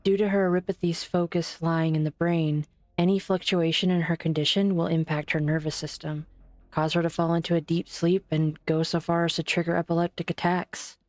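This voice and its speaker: A woman voicing a calm, formal doctor in an amateur fan dub, polite but firm. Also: fairly low pitch, a little fast, no room sound, lightly acted. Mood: steady.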